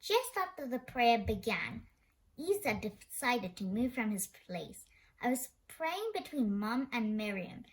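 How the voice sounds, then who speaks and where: A woman's voice: on the high side, sing-song, unhurried, rough recording. A woman reading a children's story aloud expressively, now and then stumbling over a word. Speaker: storybook reader.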